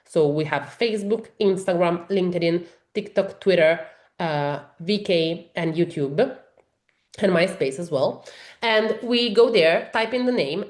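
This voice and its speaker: Woman, Italian accent, webinar presenter; lowish voice, explains step by step with many pauses, echoey room. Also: darkish tone. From Italy. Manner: explanatory, many fillers and restarts. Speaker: software trainer. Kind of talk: webinar demo walkthrough.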